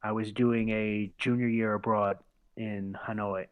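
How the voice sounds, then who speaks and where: A man's voice: lowish voice, little pitch movement, unhurried, clear, resonant tone, narrow, tinny audio. A man in his forties from the United States, a podcast guest telling stories in casual conversation, laughing often and restarting with fillers. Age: 40s.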